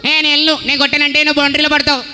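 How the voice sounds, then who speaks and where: Naughty boy puppet: very high, piercing, blustering, church show in an echoing hall. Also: monotone, rapid-fire, bright, forceful tone, exaggerated voice. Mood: angry, threatening. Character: brash, quick-tempered naughty boy puppet, cheeky and defiant. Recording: echoing hall, noisy background.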